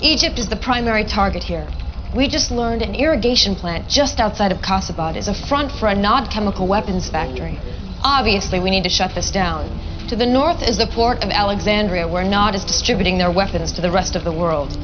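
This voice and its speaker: A woman's voice: medium pitch, a little fast, background noise, lightly acted. A woman playing a serious military intelligence officer, giving a matter-of-fact mission briefing in a game cutscene. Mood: serious, businesslike. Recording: dull lo-fi audio with music.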